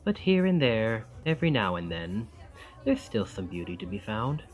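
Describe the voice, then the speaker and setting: A man's voice: on the low side, big pitch swings, normal speed, faint music behind. A man acting a charming, friendly young college student in an intimate ASMR roleplay, with a self-deprecating, thoughtful and wry manner.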